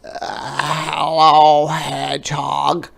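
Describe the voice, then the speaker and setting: Man putting on a croaky storybook frog. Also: fairly high pitch, monotone, very slow, a bit bright, voice pushed up, exaggerated voice. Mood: matter-of-fact. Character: frog by a pond, matter-of-fact friend.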